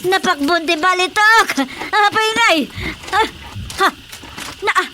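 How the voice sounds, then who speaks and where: A very high-pitched, excitable mother frets and exclaims her way through a radio comedy. She stammers, flustered, with clear noise behind her. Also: takes it slowly. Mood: agitated. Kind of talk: radio comedy drama acting.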